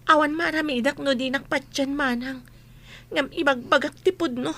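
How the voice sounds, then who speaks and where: A woman's voice: high-pitched, fairly even intonation, normal speed, pushed and bright. A woman playing a meek, put-upon young expectant mother in a radio drama, submissive and plaintive. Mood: pleading, tearful. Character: meek, tearful young pregnant woman, scolded and defensive.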